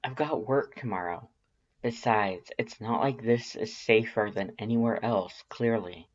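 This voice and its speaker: A man's voice: fairly low pitch, a little slow, highs cut off. A man voicing a worried human who pleads firmly and urgently in a story reading. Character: worried, firm human pleading with a monster friend.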